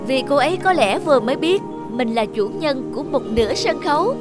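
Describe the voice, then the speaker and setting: Suave dating show host, cartoon, showy, formal, persuasive, coaxing, music behind. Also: male voice, extremely high pitch, expressive, brisk.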